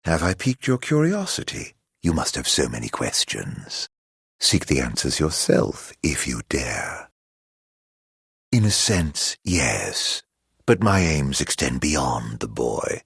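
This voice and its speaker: An actor playing a mocking, theatrical immortal villain in a video game, drawling mock-polite taunts in a husky, gravelly voice. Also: male voice, rumbling low, huge pitch swings, slow, fairly bright tone, dry recording, clean studio sound, full character voice. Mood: smug, theatrical.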